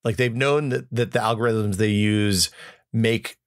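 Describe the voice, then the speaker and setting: Low-voiced man explaining tech earnestly. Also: a little slow, no room sound, studio quality. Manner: earnest, explanatory, many restarts. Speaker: podcast co-host. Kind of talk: casual tech chat.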